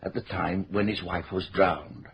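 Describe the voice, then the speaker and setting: A man's voice: deep voice, fairly even intonation, measured pace, slight background noise. An actor playing a genial, slightly pompous senior male police chief in a radio drama, who talks in a chatty way. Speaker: chief constable.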